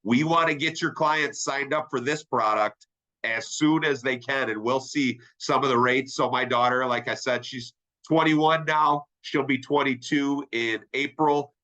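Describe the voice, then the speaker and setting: A middle-aged man with a stuffy, nasal voice from a sinus infection leads a training webinar. His tone is bright and forward, and he talks in a chatty, upbeat way. He keeps asking his listeners "right?" as he goes. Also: medium pitch, fairly even intonation, unhurried, low-quality recording. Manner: upbeat, conversational, asks "right?" often. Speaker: insurance sales trainer. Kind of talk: webinar presentation.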